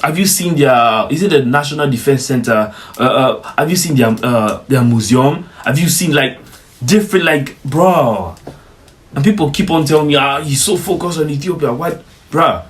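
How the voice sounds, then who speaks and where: A man's voice: mid-range voice, lively intonation, normal speed. Young adult man from Africa, mid-range voice; emphatic, chatty vlog reaction, peppered with slang. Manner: emphatic, repeats "bro" and "you get me". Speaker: vlogger reacting to footage. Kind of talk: casual reaction commentary.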